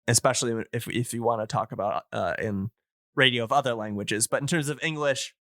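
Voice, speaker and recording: A man co-hosting a casual podcast discussion, explaining things in a halting, digressive way. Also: medium pitch, sharp tone, no echo, studio quality. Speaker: podcast co-host explaining radio history.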